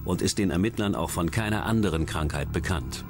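A man's voice: low-pitched, a little fast. A man reading scripted true-crime documentary narration as the narrator. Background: background music.

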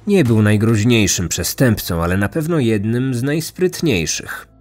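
A man's voice: medium pitch, lively intonation, a little slow, a little mellow. A man narrating a scripted true crime story as a podcast host. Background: background music.

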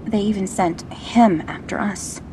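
A woman's voice: mid-range voice, steady tone, a little slow, background noise, close to an everyday voice. An actress playing a fiery, sarcastic young woman on the run in an audio drama. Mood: defiant. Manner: snappy, sarcastic. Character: fiery, sarcastic young female escaped test subject.

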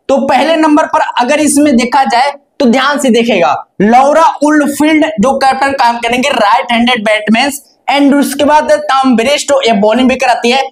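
A young man presenting a cricket match preview in a didactic, repetitive way, like a lesson at a board. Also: extremely high pitch. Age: young adult. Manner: didactic, repetitive stock phrases. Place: indoor studio in front of a digital board.

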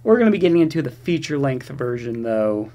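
A man's voice: medium pitch, big pitch swings, fast talker, dark tone. A man giving casual movie review commentary in a rambling, slangy, sarcastic way. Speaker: video host reviewing horror movies.

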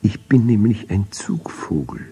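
A small, tired migratory bird from a bedtime storybook, voiced by a male reader. The voice is thin and piping, lifted in pitch, with a husky edge and a plaintive manner. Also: sing-song, unhurried, mellow, exaggerated voice. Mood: tired, worried. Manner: weary, plaintive.

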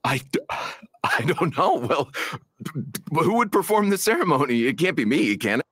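A man's voice: a little high, big pitch swings, normal speed, a bit bright, no echo, studio quality. An actor voicing an earnest, slightly flustered male spiritual guide in a video game cutscene.

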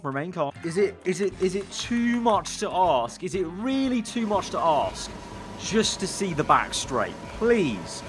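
Excitable young man, lively pitch. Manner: excitable, bursts into shouts at crashes. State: slightly hoarse. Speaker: gaming YouTuber. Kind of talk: racing game commentary.

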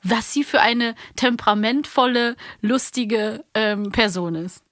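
Female co-host, thirties, casual chat, dry close sound, slightly high voice. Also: unhurried, fairly bright tone, no room sound. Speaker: podcast co-host.

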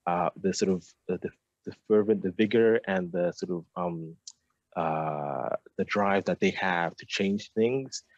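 A man's voice: low-pitched, a little mellow, no echo, lo-fi sound. A young man born in Nigeria and raised in London, an architect answering hesitantly over a video call. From Nigeria, raised in South London. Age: young adult. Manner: hesitant, many ums and restarts. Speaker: architect, guest. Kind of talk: remote interview answer. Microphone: headset on video call.

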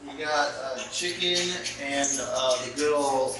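A man's voice: mid-range voice, measured pace, music in the background. Young man in an echoey kitchen, distant. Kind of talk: casual chat. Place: home kitchen indoors. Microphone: camera a few steps away. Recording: very echoey, far from mic, background clatter.

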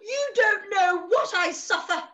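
A woman's voice: very high-pitched, lively intonation, unhurried, bright, forceful tone, full character voice. A woman playing a fretful, self-pitying middle-aged mother in a period comedy, wailing and complaining theatrically. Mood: indignant whining. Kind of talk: play read-through, period comedy. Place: indoor room, over video call.

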